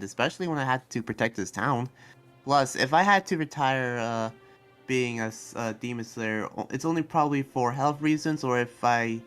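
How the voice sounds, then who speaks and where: Casting: man as sheepish male demon slayer researcher, mid-pitched, halting delivery, anime Minecraft roleplay, faint music. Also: a bit bright, clear, resonant tone, lightly acted.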